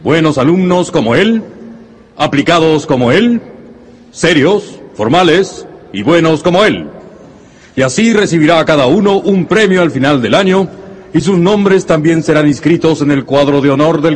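Un actor interpreta a un director de escuela formal y pomposo, de voz ronca, en una radionovela. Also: male voice, on the high side. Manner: pompous, speechifying. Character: formal, solemn male school director praising pupils. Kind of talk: radio drama acting. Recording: long hall reverb.

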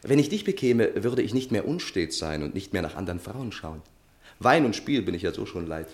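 Tiefer, lebhaft modulierender, müder junger Lebemann. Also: male voice, on the low side, sing-song, brisk, darkish tone. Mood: cajoling, defensive. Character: weary, hard-drinking young womanizing journalist, restless charmer. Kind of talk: 1960s German radio play acting.

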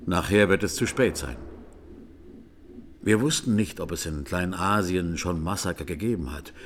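A man's low voice acting out a grave clergyman on the witness stand in a radio play. He recounts things solemnly and deliberately, with audible ambience behind him. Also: slight background noise, close to an everyday voice. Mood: grave, shaken. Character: grave Armenian clergyman testifying as a court witness. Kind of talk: radio drama courtroom testimony.

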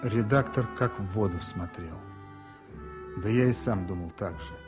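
Deep-voiced, caring wartime comrade, acted by a man, over music. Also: a little slow, lightly acted. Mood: reflective. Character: loyal soldier comrade, calm, caring and reflective narrator. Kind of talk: radio drama acting and reflective narration.

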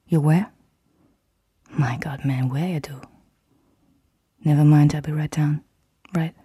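A woman acting in a radio play, speaking in a hesitant, conversational murmur with a husky voice. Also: low-pitched, fairly even intonation, dark tone, dry recording, studio quality, exaggerated voice. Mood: hesitant, evasive. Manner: hesitant, halting.

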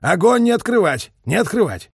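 A man's voice: medium pitch, big pitch swings, moderate pace, vocal fry, husky, no echo, studio quality, full character voice. A man voicing a harsh, impatient Soviet colonel in battle, barking clipped commands in a war audiobook. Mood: agitated, shouting. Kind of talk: audiobook character voice, war novel.

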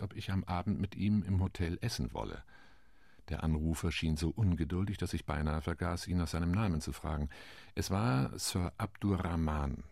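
A weary male diplomat tells his tale in a radio play with a low, husky, gravelly voice. The studio sound is dry and close. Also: darkish tone, no echo, exaggerated voice. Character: weary, wry male Latin American diplomat. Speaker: UN committee delegate.

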